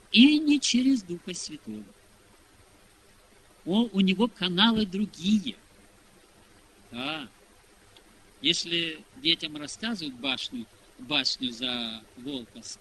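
A man preaching in a conversational storytelling style, asking rhetorical questions and acting out bits of dialogue, on a hissy recording. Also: a little high. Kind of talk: sermon told through anecdotes and dialogue. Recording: hissy, noisy amateur audio recording.

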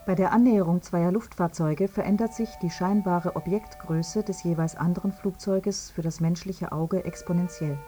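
An actress playing a detached, factual narrator reading out an accident report in a radio drama. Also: female voice, lowish voice, fairly even intonation, normal speed, hardly any pauses, warm tone, faint music behind, close to an everyday voice. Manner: factual, precise.

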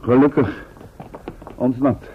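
An actor playing a dry, sardonic, level-headed fugitive who speaks in formal, ironic sentences in a radio play. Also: male voice, mid-range voice, steady tone, takes it slowly, natural voice. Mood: measured. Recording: tinny, band-limited old radio with hiss.

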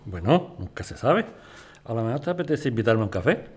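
An actor with a Spanish accent playing an excitable residents' association president in an audio drama. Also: male voice, mid-range voice, a little fast, slightly rough. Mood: friendly, flirty. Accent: Spanish from Spain. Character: irritable yet flirty male residents' association president, builder.

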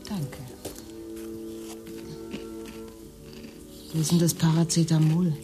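An actress plays a dry-witted, hungover wife in a naturalistic radio play. Her voice sits very low for a woman, and she needles her husband with sardonic lines. Faint music runs behind her. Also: little pitch movement, brisk, natural voice. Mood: weary, casual.

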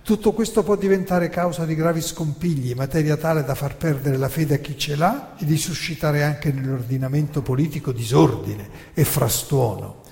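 A man giving a theatrical lecture, narrating deliberately and emphatically with dramatic pauses. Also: on the high side, vocal fry, warm tone. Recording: long hall reverb.

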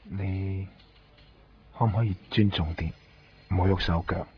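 Low-voiced, brooding Hong Kong suspect. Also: male voice, fairly even intonation, a little slow, husky, full character voice. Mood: guarded. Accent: Hong Kong Cantonese. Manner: quiet, restrained, brooding. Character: subdued, world-weary male theft suspect. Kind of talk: 1990s radio drama acting. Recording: dull radio recording with music behind.

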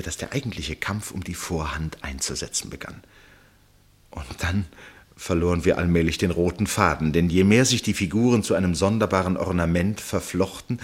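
An actor playing an absorbed first-person narrator in a literary radio play, measured and suspenseful, with deliberate pauses and a husky voice. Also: male voice, low-pitched. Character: absorbed male first-person narrator watching a tense match.

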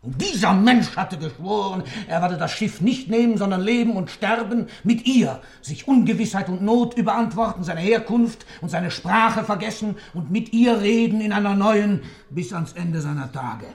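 A man's voice: sits high, moderate pace, hardly any pauses. Sardonic old man, proud and theatrical. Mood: scornful, impassioned. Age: older. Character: sly, proud old man under interrogation, self-styled god. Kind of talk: German radio play acting.

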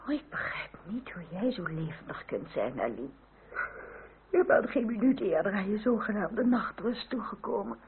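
A woman's voice: medium pitch, big pitch swings, normal speed. Sighing, affected court lady. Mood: weary gushing. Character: languid, gushing high-society lady of the French court. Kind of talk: Dutch radio drama acting. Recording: tinny old radio recording, faint noise.

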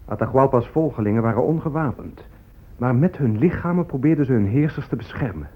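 An actor playing a grave leader recounting conquest in deliberate radio drama monologue. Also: male voice, mid-range voice, expressive, lightly acted. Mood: grim. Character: grave man recounting conquest, warrior or chief. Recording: tinny, phone-like old radio recording.

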